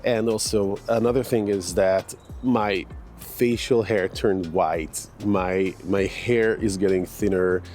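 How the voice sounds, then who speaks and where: A man's voice: sits low, steady tone, takes it slowly, a little mellow, faint music behind. A man, chatting casually with many fillers and restarts as he walks through a park. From Hungary. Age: 40s. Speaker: fitness vlogger. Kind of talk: casual walking vlog. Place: outdoor park in the morning.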